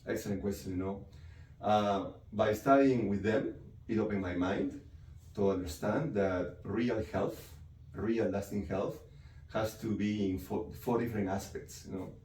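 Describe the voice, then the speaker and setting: Man, low and mellow. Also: steady tone, measured pace. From Peru. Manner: explanatory, frequent "right?" and "you know" tags. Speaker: psychiatrist. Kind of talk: interview answers. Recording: echoey room, mic from a distance, faint noise.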